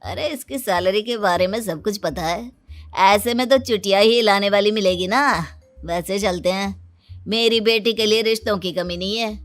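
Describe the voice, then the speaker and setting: A woman's voice: medium pitch, moderate pace, lightly acted. Smug neighbour father for a comic tale, played by a woman: taunting, boastful, over light music.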